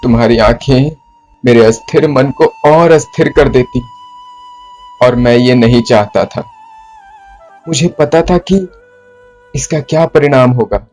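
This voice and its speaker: A man acts out a remorseful poet in a stage monologue, his mid-range voice brooding and troubled. He speaks in formal, literary phrases, deliberately, with dramatic pauses, as flute music plays behind him. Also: mid-range voice, a little mellow. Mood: anguished, fearful.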